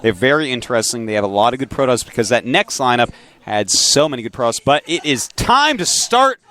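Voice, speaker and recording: A man's voice: medium pitch, big pitch swings, brisk, a bit bright. A man with a New Hampshire accent giving live color commentary on an esports match, chatty and enthusiastic, breaking down the players. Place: packed event studio.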